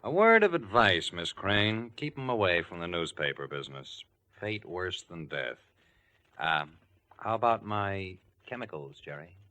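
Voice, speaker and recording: An actor playing a weary, wry newspaperman with a sardonic, drawn-out delivery in 1940s radio style. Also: low voice, big pitch swings, pushed and bright, no echo, full character voice. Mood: wry, jaded. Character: weary, wry big-shot newspaper columnist. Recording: vintage 1940s radio broadcast.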